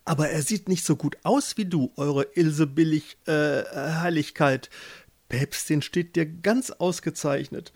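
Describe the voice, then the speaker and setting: Mann spielt zaghaften, bittenden Fischer. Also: male voice, fairly high pitch, a little slow, a little mellow, no echo. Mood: humbly eager. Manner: hesitant, stammering, pleading. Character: timid, humble fisherman husband, eager to please.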